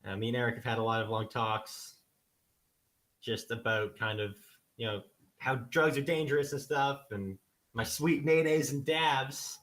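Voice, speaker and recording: A man's voice: mid-range voice, fairly even intonation, unhurried, a bit bright, lightly acted. Man playing a rambling cop trying to seem hip. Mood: eager. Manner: rambling, eager, full of filler words. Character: adult male police constable trying too hard to seem hip to teens. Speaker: radio show guest. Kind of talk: comedic improvised radio talk.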